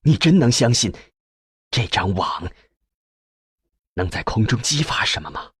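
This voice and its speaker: A man's voice: lowish voice, very animated, measured pace, fairly bright tone, slightly rough, dry recording, clean studio sound, voice pushed down, exaggerated voice. A man voicing an anxious male researcher in an audiobook, subdued and questioning, drained and full of doubt.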